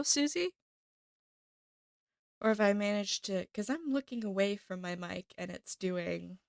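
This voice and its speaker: Chatty woman streamer with a gravelly voice. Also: medium pitch, a bit bright, no echo, clean studio sound. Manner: chatty, upbeat, talks to chat and thanks subscribers. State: slightly stuffy from a cold. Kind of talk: casual live-stream chat. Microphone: desk microphone with headphones.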